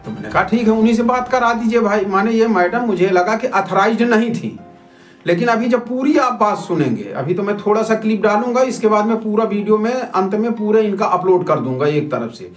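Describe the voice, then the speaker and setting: Male citizen reporter, high voice, argumentative informal commentary, music underneath. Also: lively intonation, a little fast. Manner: argumentative, repetitive, rhetorical questioning. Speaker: citizen journalist presenting a video report. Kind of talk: informal spoken commentary to viewers. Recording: roomy echo with music underneath.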